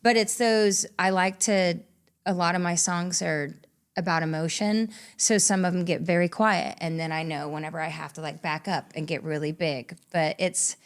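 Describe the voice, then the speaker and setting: A woman talks casually as a guest, rambling and laughing easily. Her voice sits slightly low, and she is recorded in a small studio. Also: fairly even intonation, normal speed, smooth. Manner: chatty, rambling, laughs often. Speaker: singer-songwriter guest. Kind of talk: casual interview chat.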